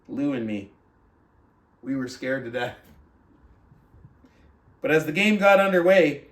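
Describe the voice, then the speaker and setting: A man with a fairly high voice hosts a book club, talking and reading aloud. He sits back from the microphone, and the room around him echoes clearly. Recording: roomy echo, distant from mic.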